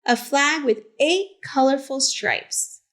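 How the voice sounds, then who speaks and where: Slow, higher-pitched woman reading aloud. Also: lively intonation, fairly bright tone. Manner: simple, deliberate phrasing addressed to young listeners. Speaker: teacher librarian reading aloud to children. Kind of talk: picture-book read-aloud with short asides.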